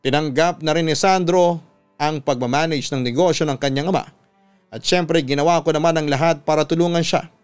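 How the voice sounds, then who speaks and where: A Filipino man hosting a radio story program, reading scripted listener letters and giving advice in an earnest, measured way. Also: fairly high pitch, fairly even intonation, clean tone. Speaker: radio host and story narrator. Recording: music under the voice. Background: background music.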